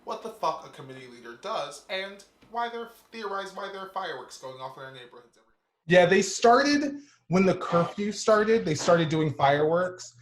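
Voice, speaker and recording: A male host riffs through a fast, irreverent comedic monologue, swearing freely before turning to interview a guest. His voice sits somewhat high, and the room around him rings with noticeable echo and a faint hiss. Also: animated. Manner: quick, profane, joking delivery.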